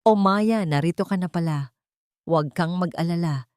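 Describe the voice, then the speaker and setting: A woman's voice: fairly low pitch, moderate pace, darkish tone, smooth, dry recording, clean studio sound, close to an everyday voice. A woman voicing a controlling husband with pushy, fake sweetness in an audio story. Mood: smooth, falsely caring. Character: controlling adult husband, sugary and falsely sweet. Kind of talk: audio storybook character dialogue.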